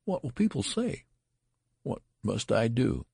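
A man voicing a frantic, flustered adult gentleman, a horrified new father in a storybook. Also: a bass voice, big pitch swings, fairly quick, creaky, slightly rough, no echo, clean studio sound, full character voice. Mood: horrified despair. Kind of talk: audiobook character voice.

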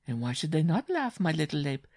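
A man's voice: fairly high pitch, sing-song, brisk, vocal fry, no room sound, clean studio sound, voice pushed up, heavily acted. A man voicing a big, strong, domineering young bride, boisterous and mocking, in a theatrical storybook reading. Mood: boastful, taunting.

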